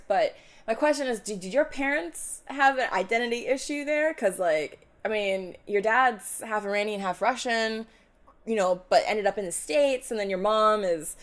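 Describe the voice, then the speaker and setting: A woman who grew up in Hong Kong, co-hosting a casual conversation and asking chatty, curious questions. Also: a little high. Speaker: co-host.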